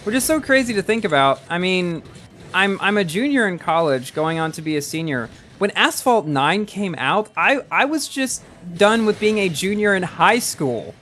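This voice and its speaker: Chatty young man, higher voice. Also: expressive, moderate pace, fairly bright tone, a little hiss or hum. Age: early 20s. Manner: rambling and chatty, often repeating words as he restarts. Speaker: gaming livestreamer reading chat. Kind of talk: casual live-stream chat.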